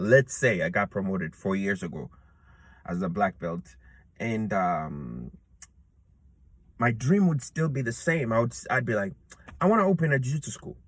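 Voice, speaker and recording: A man's voice: lowish voice, no room sound. A man in his thirties talking to himself outdoors in an earnest, rambling way, with many restarts and fillers. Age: 30s. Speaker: jiu-jitsu purple belt vlogger. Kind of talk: reflective casual monologue. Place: outdoors in a public place.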